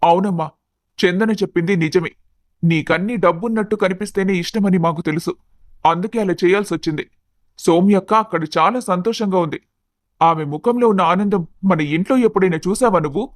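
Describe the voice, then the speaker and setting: A man playing an earnest, apologetic young adult son in an animated family story, explaining himself pleadingly. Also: sits high, a little fast, dry recording, studio quality, lightly acted. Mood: reasoning.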